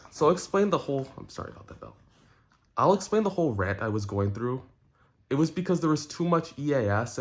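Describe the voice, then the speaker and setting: A man who creates online content, talking casually and earnestly to his viewers in a halting way, repeating phrases for emphasis. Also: mid-range voice. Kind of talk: casual explanatory rant to viewers.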